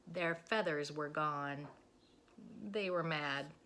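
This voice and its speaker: A woman reading a children's story aloud with expressive, dramatic and deliberate phrasing. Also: deep voice.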